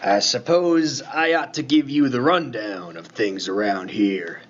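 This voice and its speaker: A man playing a laconic, folksy lighthouse keeper in a radio play, talking in a plain, unhurried, colloquial way. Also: mid-range voice, dull audio, very noisy, lightly acted. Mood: dry, matter-of-fact. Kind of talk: 1950s-set radio play dialogue.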